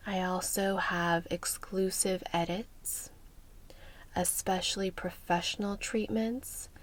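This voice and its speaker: A woman hosting an ASMR session in a soft, breathy, whispery voice, speaking gently and soothingly with measured phrasing. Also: fairly low pitch. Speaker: ASMR host.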